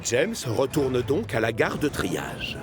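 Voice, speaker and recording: A man reading scripted French narration for a children's cartoon, expressive, as if telling kids a story. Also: medium pitch, slow pace. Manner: expressive, addressing children directly. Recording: music behind the voice.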